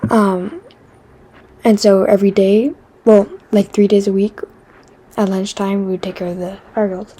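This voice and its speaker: Chatty teenage girl from Quebec, soft husky voice. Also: mid-range voice, little pitch movement, moderate pace, pauses often. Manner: chatty, self-deprecating, many fillers and restarts; drops into a whisper for asides. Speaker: vlogger. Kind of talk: rambling casual chat.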